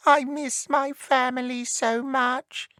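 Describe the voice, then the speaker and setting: Lady doing a little elf boy from a storybook, high voice, earnest n sweet-hearted, unhurried. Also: flat delivery, fairly bright tone, dry recording. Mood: homesick. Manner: earnest, childlike. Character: small frightened, tender-hearted young male elf. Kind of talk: children's storybook read aloud.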